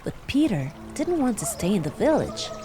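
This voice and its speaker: A woman narrates a children's bedtime tale, speaking directly and warmly to young listeners. Her pitch rises and falls in an animated, expressive way. Background music accompanies her throughout. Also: moderate pace. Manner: warm, animated storytelling addressing children. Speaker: storyteller.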